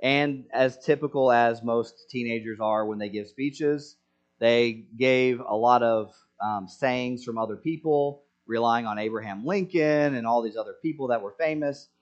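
A man's voice: medium pitch, a little slow, muffled recording. A man preaching a sermon in an earnest way, asking rhetorical questions to the congregation. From Senegal. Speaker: pastor.

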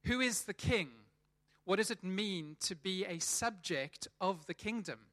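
Church speaker, male, 30s, South African accent, high and bright, chatty, restarts his phrases. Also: unhurried. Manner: chatty, repeats words as he restarts. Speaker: church leader preaching. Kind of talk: sermon.

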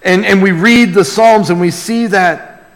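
A man's voice: high-pitched, a little slow. Man preaching in a reverberant hall. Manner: earnest, exclamatory, dwelling on phrases with repeats. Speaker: pastor preaching to a congregation. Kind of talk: sermon, conversational Bible teaching with call and response. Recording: long hall reverb of a large room.

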